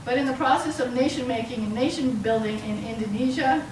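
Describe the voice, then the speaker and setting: A woman giving an explanatory academic lecture to an audience, with a halting delivery. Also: on the high side. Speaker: university lecturer. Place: lecture room, mic some way off. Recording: roomy, distant mic, clearly noisy.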